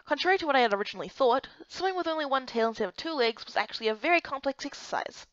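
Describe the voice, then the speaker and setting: A woman reading scripted first-person story narration in an expressive, dramatized manner. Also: high voice, racing, dry recording, lo-fi sound. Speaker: narrator and reader of a visual novel.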